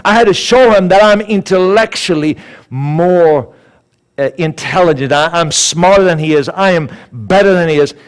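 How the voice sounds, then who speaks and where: Swedish-accented male speaker, slightly high-pitched, lively storyteller in a sermon. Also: moderate pace, clear, resonant tone. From Sweden. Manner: animated, acts out dialogue, repeats words.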